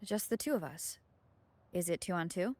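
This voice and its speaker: A woman playing a calm, curious young warrior newcomer in a video game. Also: fairly low pitch, moderate pace, no echo.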